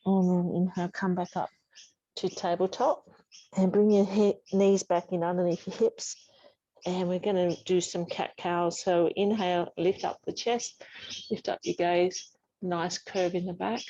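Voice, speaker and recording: A woman teaching a yoga class, giving soothing, unhurried guidance with pauses between instructions. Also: on the low side, little pitch movement, warm tone. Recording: dull, muffled lo-fi sound.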